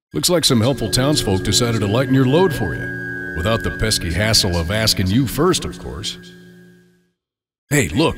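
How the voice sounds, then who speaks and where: An actor playing a smug, psychotic alter ego in a video game, with a sarcastic, taunting, theatrical delivery. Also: male voice, fairly low pitch, lightly acted. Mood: wry, prodding. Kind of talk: scripted video game character lines.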